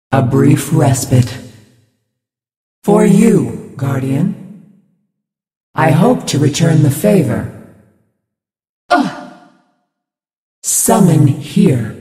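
A serene, echoing spirit-healer. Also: male voice, a little high. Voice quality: calm, measured, sermon-like. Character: serene, solemn spirit-healer of a mystic order. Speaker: game character voice. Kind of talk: game voice lines. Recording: echoey with long reverb, distant.

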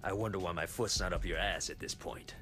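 An actor playing a cocky, foul-mouthed tough gangster with an American accent, blunt and swaggering, in a video game cutscene. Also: male voice, deep voice, fairly quick, pushed and bright, soft background music. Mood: disgusted, sardonic.

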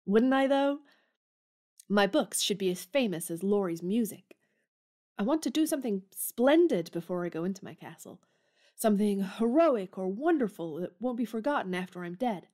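A woman's voice: mid-range voice, animated, normal speed, mellow, natural voice. A woman playing a spirited, tomboyish young woman in an audio drama, speaking in a forthright, emphatic way. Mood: eager. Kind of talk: theatrical audio drama acting.

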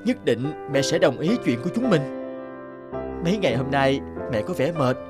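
A man playing an earnest young villager, a devoted son and suitor, speaking respectfully in an animated folk tale. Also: medium pitch, moderate pace, over loud music, natural voice. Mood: gently reassuring. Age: young adult.

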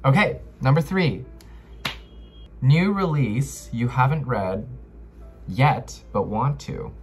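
Slow-talking young American man, casual vlogger. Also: medium pitch, expressive, soft background music. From Los Angeles, United States. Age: young adult. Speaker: book vlogger. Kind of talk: casual chat to camera. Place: small bedroom, indoors.

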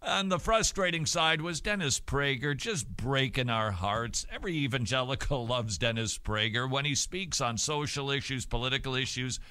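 A middle-aged man hosting a talk show from a small studio, speaking with emphatic, joking energy into a boom-arm studio mic. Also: moderate pace, few pauses, bright, forceful tone, clear, resonant tone, no room sound. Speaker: radio and TV show host. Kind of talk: talk show commentary with Bible reading. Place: small dim studio set.

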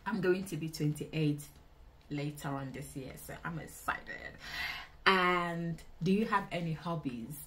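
Low-voiced young Nigerian woman vlogger. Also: unhurried, a bit bright, a little hiss or hum. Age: 20s. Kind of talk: casual Q&A chat to camera. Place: small indoor room.

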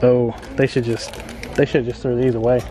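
A man's voice: medium pitch, little pitch movement, normal speed, crowd noise. A man hosting a shopping vlog, chatting casually and reading out prices while inside a busy store. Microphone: handheld camera, off screen.

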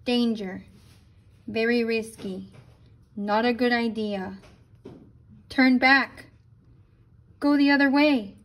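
High-voiced woman reading a storybook. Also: slow, long pauses. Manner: animated storytelling with brief asides. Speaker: storybook reader. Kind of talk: expressive children's read-aloud.

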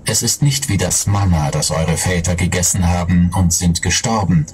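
A man reads scripture aloud in a slow, solemn cadence. His voice is very deep. Noise runs under the playback. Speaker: scripture reader. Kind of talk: recorded Bible reading.

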